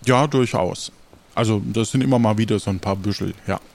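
A man describing scenes and outcomes in an improvised roleplay, halting and matter-of-fact. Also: on the low side, darkish tone. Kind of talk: improvised tabletop roleplay talk.

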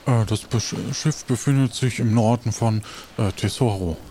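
A man's voice: mid-range voice, moderate pace, dark tone, a little hiss or hum, lightly acted. A man voicing an evasive, sheepish sailor in a pirate role-play, stammering and hesitant. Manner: hesitant, stammering, tag questions. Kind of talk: improvised role-play dialogue.

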